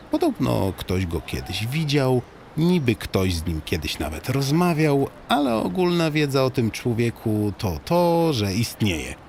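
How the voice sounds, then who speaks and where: Male comic audiobook narrator, lowish register, highly varied intonation, mock-solemn guide addressing the listener. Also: a little hiss or hum. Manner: tongue-in-cheek mock-solemn storytelling with animated swings.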